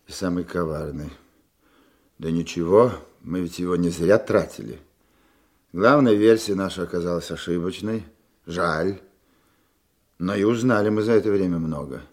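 An actor playing a calm, seasoned chief of police investigators in a Russian radio drama, measured, questioning and dryly authoritative. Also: male voice, low-pitched.

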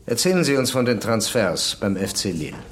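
A cool commanding police boss in a radio crime drama, lowish voice, clipped and controlled. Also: male voice, sing-song, brisk. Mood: pressing, controlled. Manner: terse, controlled interrogator. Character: commanding, coolly pressuring male police commissioner.

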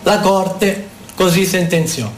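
A woman giving formal, declamatory scripted narration in a dramatic performance, with deliberate phrasing. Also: lowish voice, slight background noise. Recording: echoey room with music behind.

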